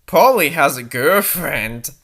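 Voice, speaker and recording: A woman's voice: low-pitched, animated, a little slow. A woman reading a story aloud for comedy, with sarcastic, amused commentary in a mocking style. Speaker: reader and commentator.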